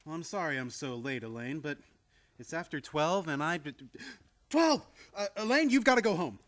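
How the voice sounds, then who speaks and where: A frantic, exasperated nephew, acted by a man in an old-time radio comedy thriller. His pitch swoops widely as he exclaims and sputters with theatrical horror at his relatives. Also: normal speed. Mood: panicked. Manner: theatrical, excitable, gasping exclamations. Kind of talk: live old-time radio play acting.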